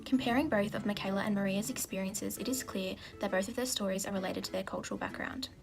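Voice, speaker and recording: A young woman reads quickly and plainly, in the flat, brisk tone of a prepared script. Clear music plays behind her. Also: medium pitch. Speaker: university student. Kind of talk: scripted analytical narration. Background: background music.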